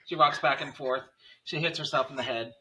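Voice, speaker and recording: A man's voice: mid-range voice, steady tone, a little fast, bright, forceful tone, breathy edge, poor audio. A man narrating stage directions in a scripted screenplay table read.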